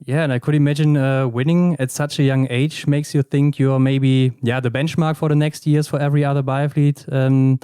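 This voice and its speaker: A man's voice: medium pitch, flat delivery, normal speed, hardly any pauses, mellow, clean tone, no echo, clean studio sound. A man hosting a podcast interview, asking prepared questions in a friendly way. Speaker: podcast host.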